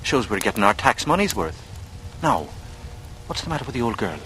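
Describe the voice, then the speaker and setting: A bright, animated voice: a cocky young charmer. He is glib, breezy and slyly polite in old-time radio drama style, over a faintly noisy recording. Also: fairly low pitch, a little fast. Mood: breezy, curious. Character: cocky, smooth-talking young working-class man, slyly charming. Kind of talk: 1940s radio acting.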